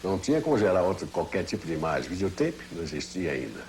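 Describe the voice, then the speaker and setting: A man's voice: low voice, very animated, quick pace, a little mellow. An older Brazilian man, a veteran engineer, giving a detailed, didactic technical explanation in an interview. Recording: faint noise, old TV broadcast.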